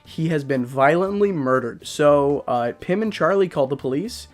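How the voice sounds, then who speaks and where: Young male reviewer, pitched a little high, loose and rambling, self-mocking; faint music under the voice. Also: animated, moderate pace. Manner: rambling, self-deprecating, many fillers. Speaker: YouTube reviewer. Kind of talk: casual vlog talk.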